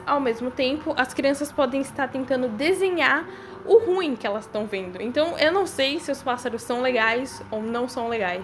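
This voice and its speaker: A young woman with a Brazilian accent giving casual, speculative commentary on a horror series in an informal, conversational way. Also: high-pitched. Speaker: video creator. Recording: voice-over with music behind it. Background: background music.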